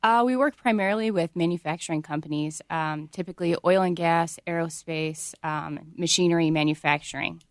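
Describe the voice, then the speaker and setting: A woman's voice: fairly low pitch, fairly quick, hardly any pauses, no room sound. A woman answering questions as a business guest in a radio studio interview. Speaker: interview guest, market analyst.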